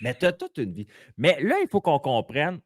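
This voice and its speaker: A man's voice: fairly high pitch, wildly swooping pitch, normal speed, no room sound. A Québécois man hosting a live podcast in a small studio, playful and teasing, with bursts of exclamations. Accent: Québécois French. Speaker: podcast host. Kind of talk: lively live podcast interview.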